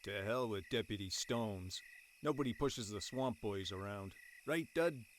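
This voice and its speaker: A man's voice: lowish voice, animated, measured pace, a little hiss or hum. A man voicing a defiant young gang sidekick, surly and slangy, in a horror radio play.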